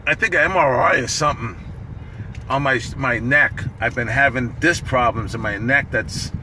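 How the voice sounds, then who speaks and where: Raspy middle-aged man chatting from his car. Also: medium pitch, moderate pace, noisy background. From New York. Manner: loose, profane, chatty, laughing often. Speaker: livestream host. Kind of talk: casual livestream chat. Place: parked car. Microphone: phone at arm's length.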